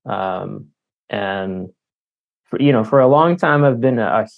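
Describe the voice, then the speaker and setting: A man in his forties giving casual, halting, self-deprecating answers as an author being interviewed. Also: lowish voice, expressive, creaky, narrow, tinny audio. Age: 40s. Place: remote call.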